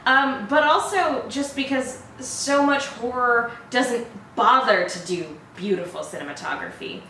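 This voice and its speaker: A woman's voice: fairly high pitch, measured pace, sharp tone. A woman hosting a trailer reaction show, talking about films in an informal, chatty way. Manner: informal and chatty, laughs often. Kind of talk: casual film review chat. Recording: very roomy, distant mic, faint noise.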